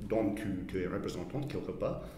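A man's voice: fairly low pitch, animated, brisk, mellow. A man from Australia speaking casually as a musician being interviewed. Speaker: musician in a blues duo, interviewee. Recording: echoey hall with long reverb, background noise.

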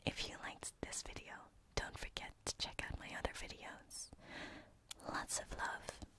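A woman ASMR creator addressing listeners in a soft, breathy whisper. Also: a little slow.

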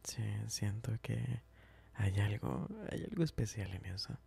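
A man playing a smitten, tender and teasing best friend in an ASMR roleplay, speaking softly and hesitantly, often whispering and repeating himself. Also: lowish voice, a little slow, full character voice. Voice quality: soft, breathy, often whispering. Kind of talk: ASMR roleplay, intimate chat.

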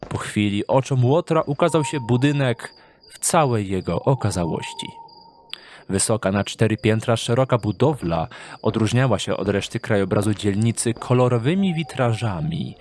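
A man with a mid-pitched voice narrates a scripted dark fantasy audio drama. Clear background noise runs behind his storytelling.

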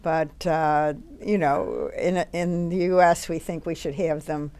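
A woman's voice: low-pitched, steady tone, a little slow, mellow. An older woman co-hosting a home cooking show, chatting casually and filling in details for her partner. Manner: chatty, explains and adds to her partner's points. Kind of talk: casual conversational chat.

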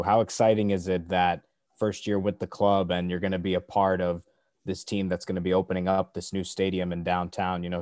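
Deep flat-voiced reporter asking a question. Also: male voice, no room sound, studio quality. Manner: friendly, long rambling question. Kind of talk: press conference question.

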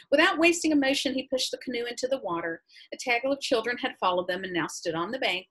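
Husky American woman reading aloud. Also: medium pitch, fairly bright tone, low-quality recording. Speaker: high school English teacher reading to class. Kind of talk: reading a novel aloud with teacherly asides. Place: small room at home, indoors.

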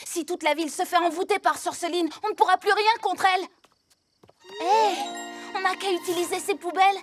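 A very high, childlike voice of a brave little boy who leads a team of cartoon superheroes. He speaks in an earnest, commanding way, decisive like a young chief. Clear music plays behind him. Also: fairly even intonation, brisk. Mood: urgent, determined. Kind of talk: French children's cartoon dubbing.

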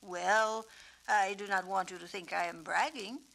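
Woman as a slow, wise, gentle male peacock. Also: medium pitch, fairly even intonation, creaky. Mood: humble, self-assured. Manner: slow, deliberate, natural and humble. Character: gentle, wise invisible peacock, male friend, humbly self-assured. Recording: noisy 1970s children's story record.

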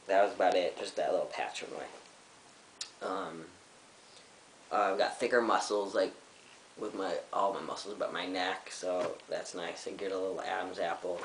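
A young man in his twenties sits on a living-room couch and talks to his camera in a casual vlog, halting and full of fillers. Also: low voice. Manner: halting, many fillers and restarts. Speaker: vlogger. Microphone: camera in front of him. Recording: roomy with faint hiss.

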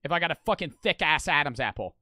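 A young man streaming casually, talking fast and animated with lots of swearing, close to the mic. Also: a little high.

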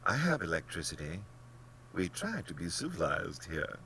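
Pompous, wildly swooping aristocratic general. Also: male voice, low voice, big pitch swings, moderate pace, sharp tone, a little hiss or hum, voice pushed up, heavily acted. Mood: smugly sly. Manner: blandly polite, pompous, theatrically emphatic. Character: genteel, smug, cold-blooded aristocratic general who hunts men. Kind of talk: dramatic audiobook character acting.

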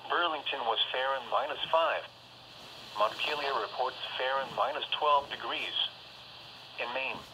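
A synthetic male announcer reads weather reports in a flat, even delivery. His bright, sharp tone comes through a small radio speaker, with faint hiss underneath. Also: mid-range voice, rough recording. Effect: played through a small radio speaker. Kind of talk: automated weather report reading. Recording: radio broadcast played from a speaker.

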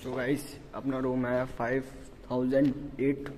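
A man's voice: mid-range voice, completely flat delivery, unhurried, darkish tone, a little hiss or hum. A young man chatting casually and informally to viewers as a travel vlogger, using slang, on a handheld phone. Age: young adult. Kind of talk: casual vlog chat to viewers. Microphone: phone held at arm's length.

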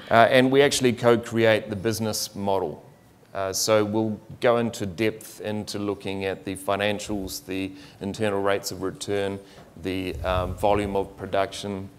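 Flat, low-voiced middle-aged male panelist. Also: slight background noise. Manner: measured, explanatory, listing examples. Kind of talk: panel discussion answer. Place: conference room.

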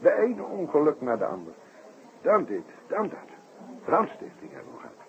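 An actor playing an excitable, chatty, worried circus performer in an old radio play, effusive and stammering. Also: male voice, on the high side, moderate pace. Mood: exasperated. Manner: effusive, stammering, slips in foreign phrases. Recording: tinny old radio recording, faint noise.

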